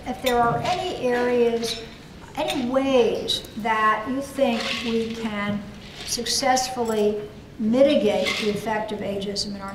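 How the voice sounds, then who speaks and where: Older woman lecturing in an echoey hall. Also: fairly high pitch. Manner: thoughtful, hesitant with many fillers. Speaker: keynote speaker. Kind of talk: lecture and audience Q&A. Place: large conference hall. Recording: echoey hall, voice from a distance, noisy.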